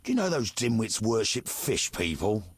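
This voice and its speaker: An actor voicing a scornful, dismissive local man in a game, with a husky voice and a sneering, mocking tone. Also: on the low side, sing-song, moderate pace, no room sound. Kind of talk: game dialogue.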